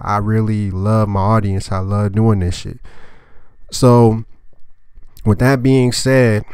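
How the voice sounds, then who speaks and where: A young man with a stuffy, nasal voice from a cold, speaking in a lowish, smooth tone. He rants bluntly and swears often, rambling with plenty of filler words. It sounds close and dry, with no room around it. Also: darkish tone, no room sound. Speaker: anime review channel host. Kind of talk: casual vulgar rant to camera.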